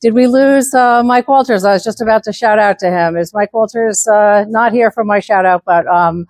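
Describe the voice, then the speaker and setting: A woman's voice: medium pitch, normal speed, darkish tone, no room sound. An older woman presents to a conference room in the tone of a former teacher. She stops often on fillers and restarts her sentences, and her mid-range voice sounds dry and close. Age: 70s. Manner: many filler words and restarts; former teacher who moves about while speaking. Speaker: section manager giving a club presentation. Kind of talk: conference talk.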